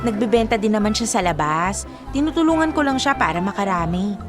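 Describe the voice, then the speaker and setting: A woman's voice: medium pitch, lively intonation, a little fast, music in the background, natural voice. A young woman with a Filipino accent playing a loyal, defensive college student in a conversational radio drama. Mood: breezy, defensive. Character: loyal, defensive female college student selling food for friend.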